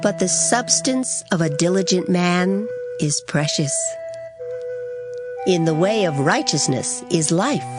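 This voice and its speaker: A woman's voice: lowish voice, lively intonation, slow pace. A woman reciting Bible verses in a formal, theatrical dramatized style. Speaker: scripture reader. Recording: music behind the voice. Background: background music.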